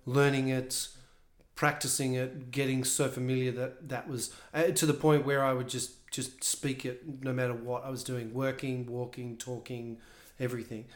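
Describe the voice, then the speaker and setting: A man who works as a voice coach and director, speaking thoughtfully and measured as a guest in a podcast conversation. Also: mid-range voice, flat delivery.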